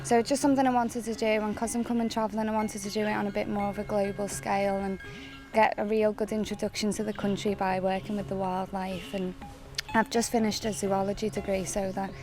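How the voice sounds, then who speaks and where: Female volunteer, twenties, eager, flat pitch, music under. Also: a little fast, hardly any pauses. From Liverpool, England. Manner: eager, many "like"s.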